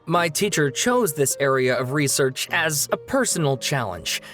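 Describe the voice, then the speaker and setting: An earnest young student in a game, fussy and a little flustered. His delivery is lively and hesitant, with clear game music playing behind him. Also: fairly high pitch, moderate pace. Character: earnest young male student writing an essay.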